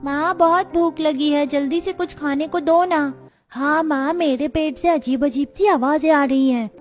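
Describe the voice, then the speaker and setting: A high, whiny little child from a kids' cartoon, played by a woman, hungry and pleading. The voice sits well up in pitch. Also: a little slow. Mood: whining. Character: hungry, pleading young child begging for food.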